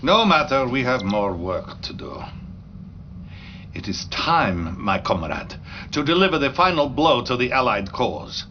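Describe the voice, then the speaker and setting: An actor playing a treacherous, grandiose Soviet premier in a video game cutscene, speaking deliberately with menace and a Russian accent. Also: male voice, mid-range voice, big pitch swings, highs cut off, slight background noise. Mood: jovial, pompous.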